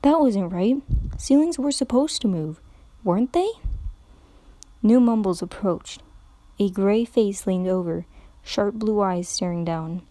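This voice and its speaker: A woman's voice: mid-range voice, measured pace, long pauses, warm tone, no room sound. A woman giving a brooding, dreamlike dramatic reading of a story as narrator.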